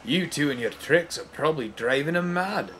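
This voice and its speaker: A man's voice: fairly high pitch, expressive, moderate pace, a bit bright, a little hiss or hum, voice pushed up. A man voicing a doubtful, loyal engine with a Scottish accent in a children's story, scoffing and plain-spoken. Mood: sceptical.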